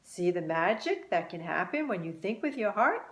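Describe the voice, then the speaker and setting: A woman voicing a gentle, encouraging female magical winged creature in a children's story, measured and nurturing. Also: mid-range voice, very animated. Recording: roomy, echoing sound.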